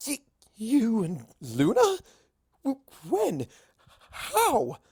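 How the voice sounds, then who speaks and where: A man's voice: extremely high pitch, huge pitch swings, drawn-out words, darkish tone, husky, voice pushed up, heavily acted. A man voicing a refined, teasing young female pony with a theatrical, prim manner in a fan fiction reading. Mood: shocked.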